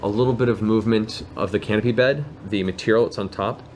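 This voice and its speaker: Low-voiced man narrating a tabletop game. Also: fairly even intonation, fast talker, over music. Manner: descriptive, theatrical storytelling. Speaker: game master. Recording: clearly noisy room ambience.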